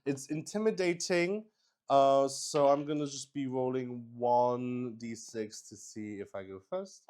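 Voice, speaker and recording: Slow, halting man narrating a dice game. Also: medium pitch, a little mellow, smooth. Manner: halting, thinking aloud. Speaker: solo tabletop roleplay player and narrator. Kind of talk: improvised game narration while rolling dice.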